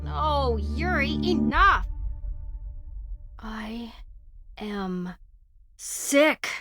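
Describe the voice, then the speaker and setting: A woman's voice: a little high, big pitch swings, drawn-out words, over music, full character voice. A woman playing an angry, mocking young witch in an audio drama, sarcastic, scornful and sweary. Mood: furious.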